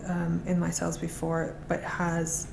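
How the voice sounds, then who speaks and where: A woman's voice: on the low side, monotone, unhurried, gravelly, darkish tone, slight background noise, rough recording. A woman speaking as a guest on a podcast, talking eagerly and often restarting her phrases. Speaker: guest, art auction specialist. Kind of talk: casual conversation.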